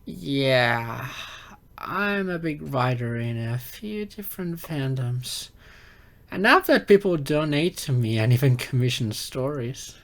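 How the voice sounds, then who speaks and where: A man's voice: medium pitch, animated, slow pace, a bit bright. A man voicing a geeky young silver fox kitsune in an ASMR roleplay, flustered, hesitant and earnest, with slightly formal wording. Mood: bashful, defensive. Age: young adult.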